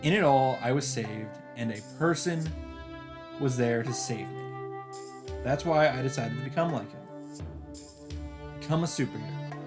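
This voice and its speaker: A man's voice: medium pitch, moderate pace, pauses often. A man hosting a let's play, reading story narration aloud expressively with occasional casual asides. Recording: music under the voice.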